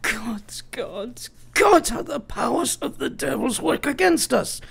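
Lady playing a serious old-fashioned doctor professor in a radio play, creaky voice, very emphatic, up and down pitch. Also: expressive, exaggerated voice. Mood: anguished. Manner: solemn, deliberate, emphatic. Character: grave, eccentric old male foreign professor and physician. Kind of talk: radio drama acting.

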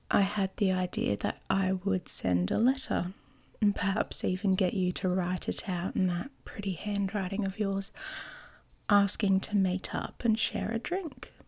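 A woman playing a smug, playful young werewolf pack leader in an intimate ASMR romance roleplay, teasing and often slipping into a breathy whisper. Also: medium pitch, steady tone, measured pace. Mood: playful, scheming.

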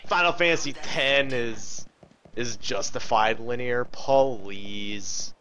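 A man's voice: mid-range voice, slow pace, pushed and bright, slight background noise. A man giving casual live video game commentary, thinking aloud and swearing as he chats with viewers. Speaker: livestream host playing a video game. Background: game music.

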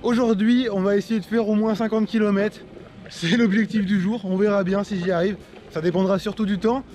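A man's voice: high voice, steady tone, brisk. A young man vlogging to camera while riding a road bike outdoors in the rain, chatting straight to his viewers. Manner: chatty, talks to viewers directly. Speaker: cycling vlogger. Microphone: action camera on the bike. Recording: loud wind noise outdoors. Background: wind and traffic noise.

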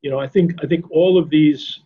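An older man speaking off the cuff on a video call, measured and halting, repeating words as he thinks. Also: fairly high pitch, steady tone, slightly rough. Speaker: panelist in a business discussion. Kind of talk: unscripted conference discussion. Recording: slightly dull video-call audio.

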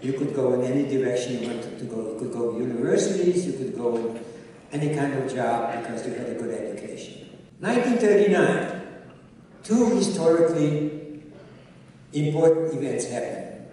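An older man from Czechoslovakia whose first language is Hungarian, telling his life story as a guest speaker on an auditorium stage, in a measured storytelling way that speaks straight to the audience. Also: mid-range voice, mellow, a little hiss or hum. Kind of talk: personal testimony talk. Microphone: handheld mic, recorded from audience. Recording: distant echoey auditorium recording.

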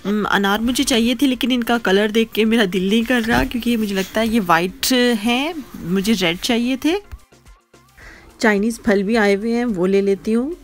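A woman's voice: on the high side, moderate pace, soft background music. A woman from Pakistan casually narrating a grocery shopping vlog as she walks the aisles, pointing out items to viewers. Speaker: vlogger. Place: supermarket aisles and streets in the UK.

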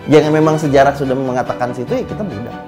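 Café owner, young man, mid pitch, casual, music clearly behind. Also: fast talker. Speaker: coffee shop owner. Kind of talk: casual interview. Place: indoor cafe.

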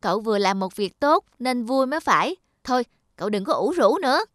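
A woman's voice: on the high side, lively intonation, brisk, dry recording, clean studio sound, natural voice. A woman voicing a chubby, caring schoolboy in a children's cartoon, earnest and a little scolding. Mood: encouraging.

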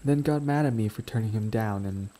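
A man playing a gentle, timid, grieving best friend in an intimate audio roleplay, speaking softly and haltingly as he confides. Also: fairly low pitch, natural voice, low-quality recording. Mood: wistful, fond. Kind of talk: boyfriend ASMR audio roleplay, intimate dramatic acting. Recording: noticeable background ambient noise.

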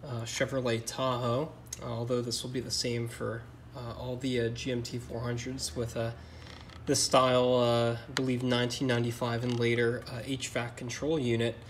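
Male DIY car vlogger, average pitch, hesitant and matter-of-fact, casual workbench demo. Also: level tone, normal speed, slight background noise. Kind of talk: casual hands-on explanation to camera.

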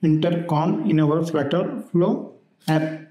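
A man hosts a screen-recorded software tutorial. His voice sits a little high for a man. He speaks very slowly, moving haltingly from one step to the next. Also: steady tone. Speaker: host of a tutorial channel.

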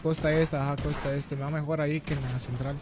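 Excitable Guatemalan man streaming games. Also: fairly high pitch, fast talker, noisy background. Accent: Guatemalan Spanish. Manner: excitable, loud exclamations. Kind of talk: casual gaming chat.

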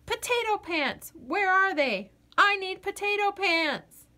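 A woman voicing an excitable, anxious male potato in a picture book read-aloud. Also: very high voice, takes it slowly, voice pushed up, heavily acted. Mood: desperate.

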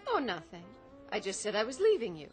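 A woman's voice: mid-range voice, wildly swooping pitch, a little fast. An actress playing a sulky, sarcastic gangster's girlfriend in a radio drama with music underneath. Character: bitter young gangster's girlfriend.

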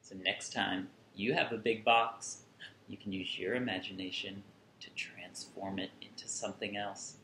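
A man in his thirties reading a picture book aloud to young children, expressively, with drawn-out words, recorded indoors at a little distance from the mic. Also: low voice, fairly even intonation, bright, forceful tone. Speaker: children's museum storyteller. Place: small furnished room at home, indoors. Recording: roomy sound with faint background noise.

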